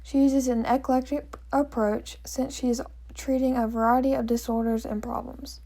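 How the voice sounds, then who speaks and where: A woman narrating a scripted story, reading it aloud in a plain, measured way. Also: fairly high pitch, flat delivery, warm tone.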